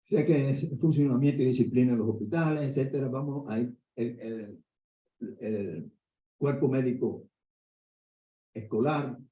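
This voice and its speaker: An older man, an orthopedic doctor giving a conference lecture in a lively storytelling way. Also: mid-range voice, measured pace, pauses often. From Dominican Republic. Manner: conversational, animated storytelling with asides. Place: conference hall. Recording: echoey hall, dull lo-fi sound.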